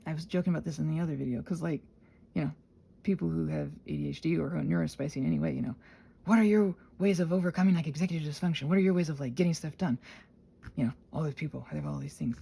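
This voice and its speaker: A woman's voice: low-pitched, rapid-fire, creaky, dark tone, slightly rough. A woman rambling casually and unscripted, scattered and self-deprecating, often trailing off, sounding tired and congested with a sinus infection. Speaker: solo host of a rambling audio channel.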